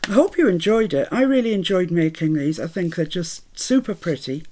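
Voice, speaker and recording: A woman's voice: lowish voice, animated, a little fast, warm tone. A woman born in Scotland with a mixed British accent from years in the Midlands, chatting cheerfully through a crafting tutorial as she works. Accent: mixed British, Scottish-born, Midlands. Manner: chatty, cheerful, laughs often, talks while working. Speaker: craft channel host.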